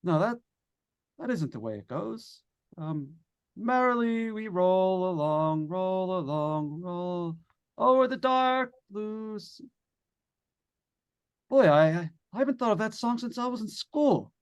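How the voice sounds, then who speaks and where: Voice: mid-range voice, lively intonation, slow, clean tone, muffled recording. An actor in an old-time radio suspense play, playing a jittery, stammering broadcaster who talks to listeners at home in a folksy way and is coming unraveled. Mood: dazed, unraveling.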